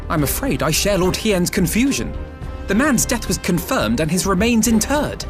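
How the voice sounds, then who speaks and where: An actor playing a formal, insistent official in a video game cutscene. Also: male voice, sits high, very animated, moderate pace, music in the background. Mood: puzzled, insistent. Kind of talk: dramatic game cutscene acting.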